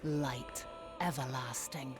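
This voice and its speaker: Loud music surrounds a sorrowful, loving goddess from a video game. She delivers her solemn monologue very slowly, in a low register. Every phrase is measured, poetic and resolute. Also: fairly even intonation. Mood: solemn, compassionate.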